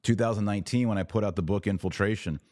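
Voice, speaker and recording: An American man hosting a podcast, giving religious commentary off the cuff in an emphatic, preachy way with deliberate pauses and repetition. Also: on the low side, a little mellow, no echo. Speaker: podcast host.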